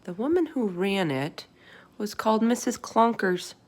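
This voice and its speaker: A woman's voice: medium pitch, expressive, unhurried. A woman voicing a small, plucky, inquisitive orphan girl in a storybook, speaking in a matter-of-fact way. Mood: unhappy.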